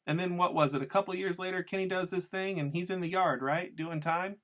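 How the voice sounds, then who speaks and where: A man's voice: high-pitched, steady tone, fairly quick, a bit bright, pitched above the performer's own voice. A man voicing a giggly, mocking, slangy tough woman in a story reading. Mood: excited recalling. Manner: teasing, taunting, slangy. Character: giggly, mocking, needling tough woman who drinks beer.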